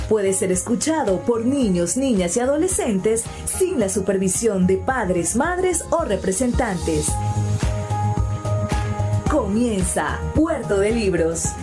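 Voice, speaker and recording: A woman reading a scripted radio programme introduction. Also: on the high side. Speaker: programme announcer. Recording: music behind the voice. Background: music.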